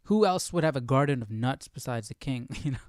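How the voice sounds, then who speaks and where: A man's voice: mid-range voice, lively intonation, fairly quick, no room sound, studio quality. A man hosting a Bible study stream, reading aloud and musing in an informal, questioning way. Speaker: host of Bible study livestream.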